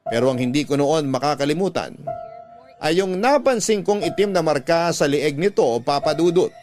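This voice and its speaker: A man's voice: a little high, lively intonation, moderate pace, over music, lightly acted. An actor playing a haunted young man who narrates his frightening experiences in a radio drama. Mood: fearful. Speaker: narrator of a letter.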